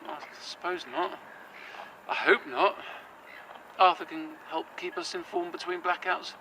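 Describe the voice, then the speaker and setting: An anxious, earnest young man, played by a male actor in an audio drama, speaking. His voice sits high and very bright, and he talks hesitantly with frequent fillers. Faint outdoor noise hums behind him. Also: steady tone, unhurried, low-quality recording. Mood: worried.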